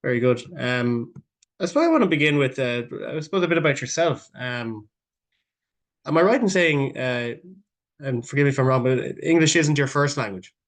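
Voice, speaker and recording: A man hosts a podcast interview, circling round his questions with plenty of ums and false starts. His voice is at an everyday middle pitch for a man. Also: animated, moderate pace. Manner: rambling, many fillers and restarts. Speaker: podcast host. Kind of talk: casual interview hosting.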